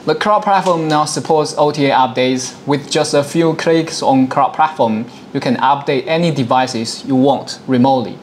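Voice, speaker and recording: A man in his thirties presenting a software demonstration, explaining things step by step in a dialogue. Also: mid-range voice, normal speed, few pauses, slight background noise. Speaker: product presenter.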